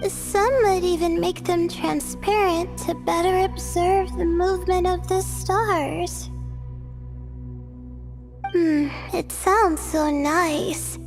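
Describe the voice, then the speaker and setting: A shy young stargazer with a very high voice who drifts through her words at a very slow, hesitant pace. Her soft-spoken lines sit over faint game music. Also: fairly even intonation, full character voice. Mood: dreamy. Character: shy, dreamy young female star-gazing student.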